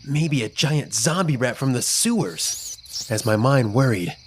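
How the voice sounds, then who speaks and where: A man narrating a scripted first-person children's story in an expressive, dramatic storytelling manner. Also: mid-range voice, a little slow, slight background noise.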